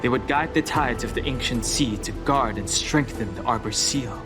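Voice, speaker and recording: A man playing a calm, reserved guide in a game cutscene, solemn and terse as he recites lore. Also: mid-range voice, moderate pace, loud music behind the voice, natural voice. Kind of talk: video game cutscene acting.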